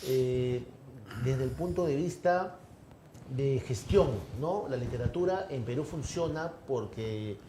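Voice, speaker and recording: A man's voice: medium pitch, slow pace, dark tone. A man interviewing a writer, asking long, rambling questions full of tag questions. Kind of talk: conversational interview. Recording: roomy, with clear background noise.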